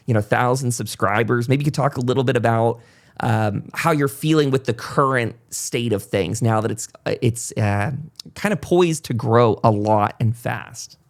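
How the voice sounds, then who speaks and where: Man, mid-pitched voice, podcast host, conversational interviewing, rambling, effusive delivery.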